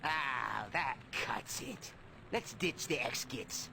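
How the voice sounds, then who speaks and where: An actor voicing a sniveling, sneering mutant villain sidekick in a video game, whiny and resentful. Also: male voice, mid-range voice, very animated, very slow, creaky, noisy background, exaggerated voice.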